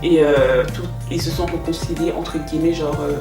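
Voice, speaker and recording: A young woman telling stories in a casual, animated, teasing and slangy way, like an online host. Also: sits low, normal speed. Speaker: YouTube host telling K-pop gossip. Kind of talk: casual chatty storytelling with commentary. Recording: roomy echo, distant mic, music behind.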